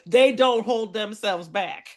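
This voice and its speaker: A woman's voice: fairly high pitch, slow, faint music behind. Storytelling woman comedy co-host. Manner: animated storyteller, builds to punchlines, laughs often.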